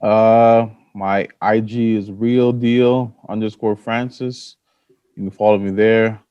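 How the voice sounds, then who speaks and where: Guy coach chatting on a podcast, lowish voice, dry close sound. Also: fairly even intonation, slow pace, mellow, clear, resonant tone, no room sound, highs cut off. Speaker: college basketball coach on a podcast panel. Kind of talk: casual chat.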